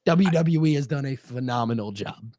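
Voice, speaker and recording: A man in his mid-twenties co-hosts a wrestling talk stream at a medium pitch. He talks animatedly, swears freely and often doubles up his words. The recording is dry and close. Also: animated, moderate pace, a little mellow, no echo. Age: 20s. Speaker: co-host. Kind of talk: casual live-stream chat.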